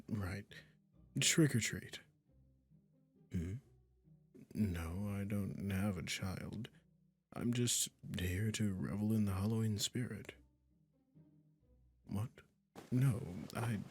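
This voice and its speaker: A low, gravelly male witch acted by a man, possessive and obsessed, speaks in an unhurried, deliberate drawl. He coaxes with condescending sweetness and quiet menace, in an intimate roleplay audio drama with music behind him. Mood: feigned innocence. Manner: soft coaxing, condescending endearments, controlling, deliberate.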